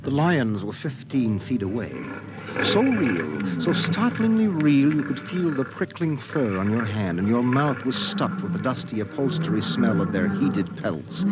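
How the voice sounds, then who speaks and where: A man's voice: medium pitch, very animated, lightly acted, poor audio. Brooding actor as male radio narrator, dramatically swooping. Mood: intense, vivid. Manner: intense, deliberate, incantatory repetition. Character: brooding narrator of an ominous science fiction tale. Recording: vintage radio drama over music. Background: music.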